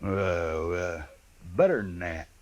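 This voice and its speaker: An actor playing a huge, simple, blunt buffalo hunter with a rustic drawl in a 1950s western radio drama. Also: male voice, low-pitched, expressive, a little mellow. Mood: smitten, earnest. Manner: slow, rustic ungrammatical drawl.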